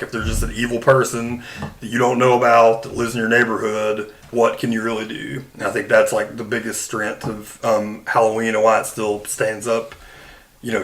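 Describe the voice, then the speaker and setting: A man's voice: lowish voice, a bit bright. A man hosting a podcast, talking casually and emphatically in an informal, conversational way. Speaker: podcast host. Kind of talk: casual film discussion.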